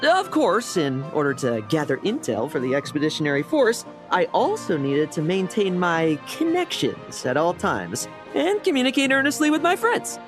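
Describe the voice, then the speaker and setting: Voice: medium pitch, huge pitch swings, moderate pace, hardly any pauses, big-room sound, music in the background. An actor voicing a playful, teasing young knight in a video game, with a breezy, theatrical manner.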